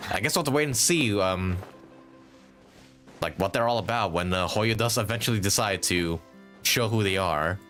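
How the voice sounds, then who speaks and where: Animated, low-voiced male game streamer. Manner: musing, thinking aloud. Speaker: game livestreamer. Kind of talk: casual live gaming commentary. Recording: game music behind.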